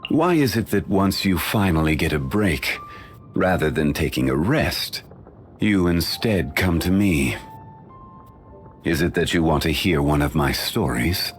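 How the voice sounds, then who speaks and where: An actor voicing an ancient, dignified male sage for a game, in formal, measured, unhurried speech. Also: extremely low pitch, animated, soft background music. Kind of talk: studio game voice lines.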